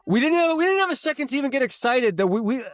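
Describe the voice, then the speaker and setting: A man co-hosting a podcast, talking loosely and profanely about songs, rambling and excitable. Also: very high-pitched, very fast, a crowd in the background. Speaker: podcast co-host. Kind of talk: casual chat. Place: lives in Los Angeles.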